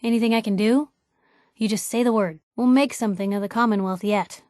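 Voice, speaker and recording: A woman's voice: a little high, steady tone, fairly quick, a little mellow, dry recording, studio quality, natural voice. A woman playing a spirited, sardonic young reporter in a post-apocalyptic video game. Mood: warm, upbeat. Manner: sardonic, teasing, plucky. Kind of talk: video game companion dialogue.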